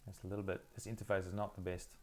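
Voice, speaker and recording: A middle-aged man from South Africa, whose first language is Afrikaans, hosts a live Q&A straight from his phone. His voice sits somewhat low, and he answers viewer questions in a relaxed, thoughtful way, full of ums and restarts. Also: rapid-fire, slight background noise. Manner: thoughtful, hesitant, many fillers and restarts. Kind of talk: casual live Q&A.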